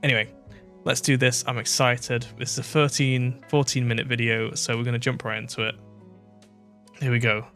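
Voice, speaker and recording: A man's voice: medium pitch, little pitch movement, normal speed, a bit bright, faint music behind. A British man hosting a reaction video, chatting casually and thinking aloud with fillers and restarts.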